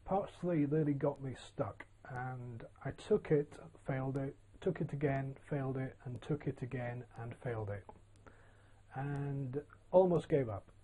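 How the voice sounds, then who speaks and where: Mellow-voiced older man from Yorkshire. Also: mid-range voice, low-quality recording. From Yorkshire, England. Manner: relaxed, plain-spoken, with frequent ums and uhs. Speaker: business founder telling his story. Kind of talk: casual autobiographical monologue.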